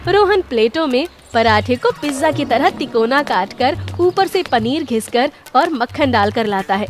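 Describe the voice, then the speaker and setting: A woman's voice: high voice, expressive, moderate pace. A woman reading scripted narration for a cartoon story. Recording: music under the voice.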